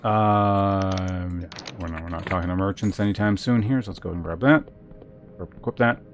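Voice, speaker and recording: A man's voice: low-pitched, normal speed. A man streaming a video game with casual live commentary, thinking aloud in a rambling way. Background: music.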